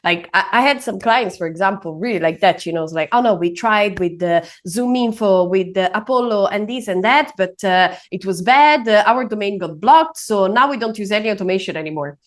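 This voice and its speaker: A woman's voice: mid-range voice, animated, fairly quick, hardly any pauses. A woman hosting an interview, asking questions in a conversational way with fillers. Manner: conversational, with fillers and short backchannels. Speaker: podcast host and sales trainer. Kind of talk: casual interview talk.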